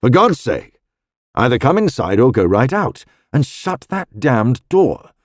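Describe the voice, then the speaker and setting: A man's voice: medium pitch, sing-song, a little slow, no echo, clean studio sound. A man voicing an irritable, short-tempered young male painter who speaks in a snappish, curt way.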